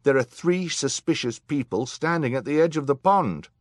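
A man acts out agitated, gossiping hotel guests in a storybook. They are loud, excitable and accusing, pitched high with big swoops. The room is dry. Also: normal speed, no room sound.

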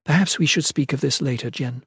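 A man's voice: a little high, normal speed, breathy edge, no room sound, studio quality, voice pushed up. A man voicing a tender, dignified holy noblewoman with a formal, hesitant manner in a fantasy storybook. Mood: sorrowful, unsteady. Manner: formal, soft-hearted, hesitant.

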